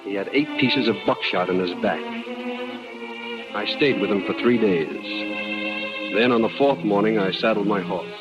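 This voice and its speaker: Actor as low-voiced plainspoken frontier prospector. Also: male voice, normal speed, long pauses, lightly acted. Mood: measured, grave. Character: plainspoken former soldier turned prospector telling his own story. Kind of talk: 1950s radio drama narration. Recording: dull old radio recording, loud music behind.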